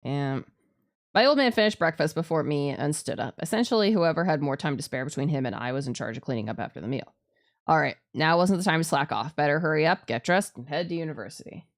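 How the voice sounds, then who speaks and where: A woman's voice: deep voice, expressive, fast talker. A woman streamer playing a visual novel live, reading the narration aloud and adding playful, casually sweary asides. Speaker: streamer, virtual YouTuber.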